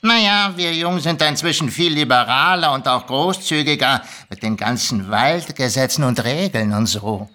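Casting: a woman playing a small, grubby male storybook goblin. Very sharp, forceful tone, swinging pitch, boastful and unfazed. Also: expressive, normal speed, few pauses, heavily acted. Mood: laid-back, self-satisfied. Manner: casual, colloquial, drawling, unfazed, boastful. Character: cocky, laid-back small grubby male goblin with big nose.

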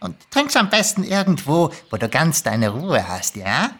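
A woman's voice: sits low, sing-song, unhurried, fairly bright tone, slightly rough, full character voice. A woman voicing a sly, spiteful male kobold in a storybook reading, hissing, scolding and dripping with false sweetness. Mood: sickly sweet.

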